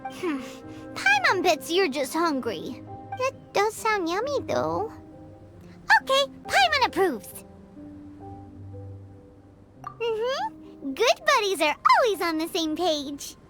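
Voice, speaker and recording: A woman voicing a tiny, childlike female fairy companion in a video game, cheeky, excitable and cutesy. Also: very high voice, takes it slowly, long pauses, full character voice. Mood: playful, cheeky. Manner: excitable, cutesy, refers to self in third person. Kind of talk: cartoonish video game voice acting. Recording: loud game music behind the voice. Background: loud background music.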